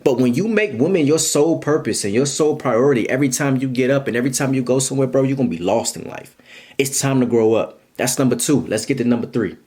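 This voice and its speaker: A man's voice: mid-range voice, fast, darkish tone. A man in his twenties giving blunt, repetitive life advice straight to his viewers in a casual monologue. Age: 20s.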